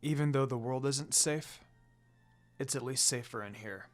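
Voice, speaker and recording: A man voicing a stoic, protective male guardian who speaks quietly in a story reading. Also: mid-range voice, measured pace, soft background music, pitched below the performer's own voice, lightly acted. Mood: calm.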